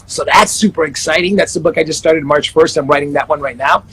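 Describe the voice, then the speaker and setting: Husky, high-pitched man in his 30s; upbeat, self-promoting interview guest. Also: fast talker, a bit bright, rough recording. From Panama and the Netherlands. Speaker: life coach guest. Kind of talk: casual interview chat.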